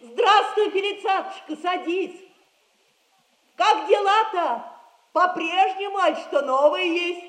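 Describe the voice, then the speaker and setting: A woman's voice: very high voice, takes it slowly, fairly bright tone, heavily acted. An actress playing a poor, honest, plain-spoken middle-aged widowed mother in a theatrical audio comedy. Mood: welcoming, curious. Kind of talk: classic Russian stage comedy acting, audio play.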